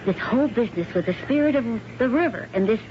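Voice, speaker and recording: A woman's voice: a little high, expressive, fast talker, lightly acted. Woman acting a firm, sensible wife, muffled recording. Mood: reproachful. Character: sensible, loyal, skeptical middle-aged wife, firm and exasperated. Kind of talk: old-time radio drama acting. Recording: dull noisy vintage radio recording.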